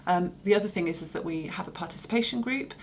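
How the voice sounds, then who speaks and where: A woman with an English accent who works for a children's advocacy service, explaining its work in a steady, informative way with occasional fillers. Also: on the low side, quick pace, slight background noise.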